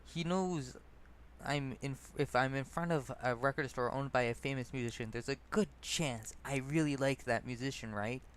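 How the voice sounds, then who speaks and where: A man's voice: mid-range voice, normal speed, clean tone. A man reading his own personal essay aloud as narrator, stumbling over words and correcting himself. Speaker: narrator and author.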